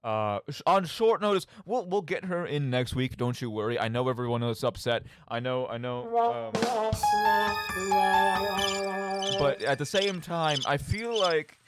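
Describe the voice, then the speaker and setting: A man's voice: on the high side, unhurried, faint music behind. A young man hosting a casual, rambling radio show in a playful, mock-dramatic, tongue-in-cheek manner. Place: radio studio. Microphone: studio microphone.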